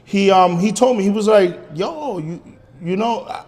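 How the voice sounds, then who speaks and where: A man whose first language is Spanish talks as a podcast guest, loose and rambling, stacking fillers and false starts. His voice sits a little high for a man. A long hall reverb trails behind his words. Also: moderate pace, a little mellow. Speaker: guest musician. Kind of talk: casual interview chat.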